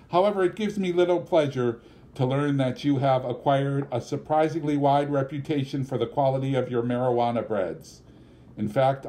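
A portly, genteel father acted by a male reader in a storybook. He is wordy and formal, with mild disapproval in a mellow, mid-pitched voice. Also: lightly acted. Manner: ornate, formal.

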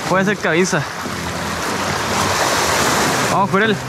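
High-pitched, excitable Chilean man over loud music. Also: quick pace, low-quality recording. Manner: excited, slangy, repeating short phrases. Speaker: fishing vlogger. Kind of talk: casual vlog chat while fishing. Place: outdoors on a rocky seashore. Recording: loud music behind the outdoor voice.

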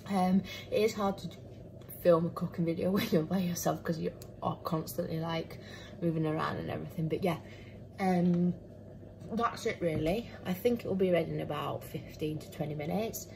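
A woman's voice: fairly low pitch, fairly even intonation, normal speed, creaky. Young woman, cooking vlogger, casual rambling chat into a nearby phone, kitchen noise. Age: young adult. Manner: chatty, rambling, many fillers and self-corrections. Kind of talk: casual cook-along chat. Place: home kitchen, indoors. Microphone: phone camera propped close, moving around.

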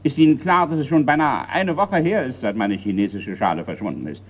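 An actor playing a gruff, impatient old colonel in a German radio crime drama, blustering and hesitating. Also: male voice, mid-range voice, a little fast, natural voice. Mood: indignant. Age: older. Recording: muffled, noisy old radio recording.

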